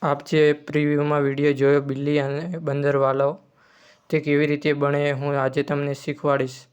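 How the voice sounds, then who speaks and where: A man's voice: on the high side, completely flat delivery, moderate pace, clean tone. A man hosting an informal step-by-step tutorial, using repetitive instructional phrasing. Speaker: tutorial host.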